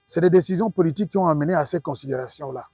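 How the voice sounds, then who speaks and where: Husky Congolese professor, dry close sound. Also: male voice, a little high, racing, darkish tone, no echo. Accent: Congolese French. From Democratic Republic of the Congo. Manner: didactic, emphatic, rhetorical questions and repetition. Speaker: professor, linguistics expert. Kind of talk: interview, explaining to an audience.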